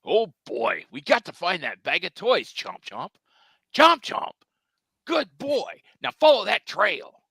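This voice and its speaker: Plucky cartoon hero, voiced by a table reader, earnest and exaggerated, creaky edge, dry close sound. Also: medium pitch, wildly swooping pitch, takes it slowly, fairly bright tone, no echo, full character voice. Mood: determined. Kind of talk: live comedic table read.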